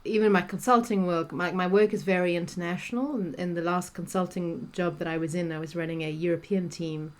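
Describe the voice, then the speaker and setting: A woman's voice: fairly low pitch, steady tone, brisk, hardly any pauses. A middle-aged South African woman, a guest coach chatting on a podcast, talking freely with many restarts and fillers. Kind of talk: podcast interview conversation.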